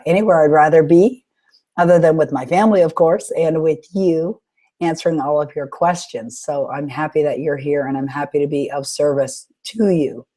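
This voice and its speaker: A woman with a low, mellow voice hosts a live webinar, her throat a little sore. She coaches listeners through their questions in an encouraging way, pausing often with small fillers. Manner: warm encouraging coaching talk with frequent "uh" pauses. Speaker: webinar host and author coach.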